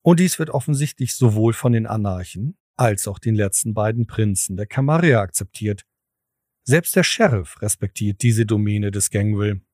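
A man's voice: on the low side, animated, moderate pace, a little mellow, dry recording, clean studio sound. A man narrating a scripted audio drama in the role of storyteller. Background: background music.

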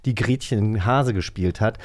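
A man's voice: fairly low pitch, a little slow, darkish tone. A man co-hosting a podcast in casual, wordy conversation that often circles back on itself. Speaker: podcast co-host.

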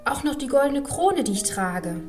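A spoiled young princess, put on by a woman reading the tale, pleads as if close to tears. Her voice sits a little high. Soft music plays in the background. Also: big pitch swings, normal speed. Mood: eager. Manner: pleading, lightly sobbing. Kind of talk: storybook reading.

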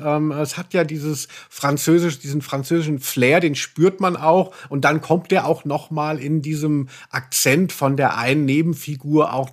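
A man co-hosting a podcast in a casual, ironic discussion, explaining things in a mock-erudite way. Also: a little high. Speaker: podcast co-host, pop journalist.

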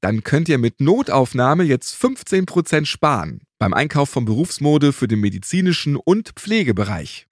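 A man's voice: medium pitch, big pitch swings, normal speed, few pauses, husky, no echo, clean studio sound. A man speaking as a podcast host in German-style interview and presenting delivery. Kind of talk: podcast interview and scripted ad read.

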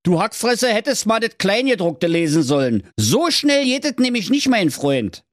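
A gloating fairy-tale king, sneering and spiteful. He speaks in a high, put-on storybook voice, close and dry. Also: sing-song, moderate pace, no room sound. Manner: sneering, mocking.

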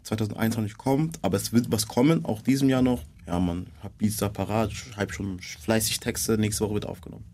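A man's voice: fairly low pitch, fairly quick, few pauses, darkish tone, slight background noise. A young man speaking as a rapper guest in a casual interview, laid-back and full of street slang.

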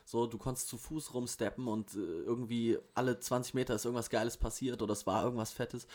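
A man talking in a casual chat, rambling in relaxed slang with many fillers, recorded in an apartment kitchen. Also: mid-range voice, quick pace. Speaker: rapper guest.

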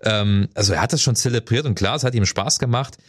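A man hosting a music talk, explaining in a relaxed way and often agreeing. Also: medium pitch, fast. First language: German. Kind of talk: conversational discussion.

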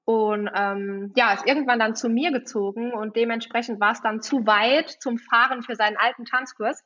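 A young woman from talks through a cold in a relaxed, giggly way, filling her sentences with little pauses. Her voice sits a touch high comes through. The recording sounds muffled and lo-fi. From Germany, Saarland. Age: 20s. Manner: giggly, chatty, many fillers. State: has a cold. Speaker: guest, wedding officiant. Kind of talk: casual podcast interview.

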